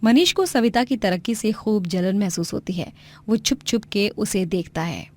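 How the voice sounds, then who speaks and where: A female storyteller narrates from a script. Her voice sits at a medium pitch and carries the tale along. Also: normal speed.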